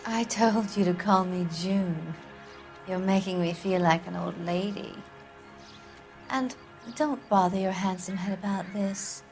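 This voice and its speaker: A woman's voice: medium pitch, a little slow, a little mellow, faint music behind, close to an everyday voice. A woman voicing a flirtatious, haughty former film actress who waves off questions in a drowsy drawl. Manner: flirtatious, dismissive, slightly drowsy. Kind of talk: video game cutscene acting.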